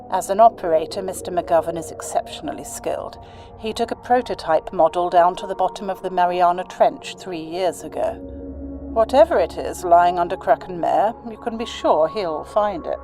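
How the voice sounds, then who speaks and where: An actress playing a woman giving a measured briefing on the submarine. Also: fairly low pitch. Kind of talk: audio drama acting.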